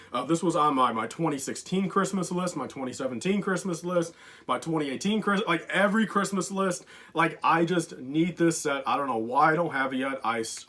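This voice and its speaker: A man's voice: fairly high pitch, fairly bright tone. A young man in a casual countdown vlog, rambling with many fillers, enthusiastic, and losing his voice. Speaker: LEGO collector YouTuber.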